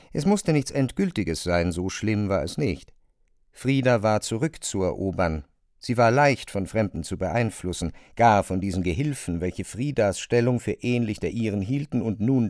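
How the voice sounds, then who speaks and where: A man narrating a literary radio play in a measured, even manner. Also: on the low side, no echo, studio quality.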